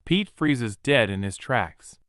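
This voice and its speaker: A man's voice: mid-range voice, animated, takes it slowly, fairly bright tone, no echo, studio quality. Vivid male horror audiobook narrator. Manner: vivid, present-tense storytelling.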